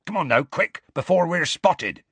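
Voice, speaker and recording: A man voicing a huge, gruff, evasive gamekeeper with rustic colloquial speech in an audiobook. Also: fairly high pitch, lively intonation, normal speed, fairly bright tone, no room sound, pitched above the performer's own voice, heavily acted. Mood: urgent, hushed. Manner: colloquial rural speech, dropped words.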